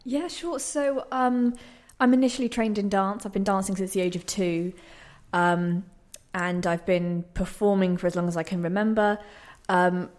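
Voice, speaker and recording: A woman's voice: mid-range voice, fairly even intonation, moderate pace, darkish tone. A young woman talking casually as a radio interview guest, telling personal stories in a modest, good-humoured, slightly nervous way. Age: young adult. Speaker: interview guest, playwright and actor. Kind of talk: casual, chatty personal storytelling. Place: radio station.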